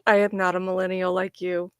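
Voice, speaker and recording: A woman's voice: lowish voice, little pitch movement, normal speed, clear, resonant tone, no room sound. A woman in her forties on a casual panel chat, teasing the others playfully and laughing often. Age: 40s.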